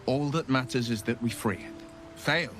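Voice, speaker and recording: Actor as noble young hero, mid-pitched male, earnest, dramatic game acting, music behind. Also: unhurried, fairly bright tone, close to an everyday voice. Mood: bitter, determined.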